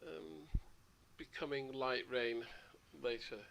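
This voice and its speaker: A man's voice: medium pitch, fairly even intonation, slow, creaky, a bit bright. Guy on a sim livestream, bit throaty, crackly voice, chatty and wandering. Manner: chatty, rambling asides. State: slightly hoarse. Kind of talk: casual livestream commentary.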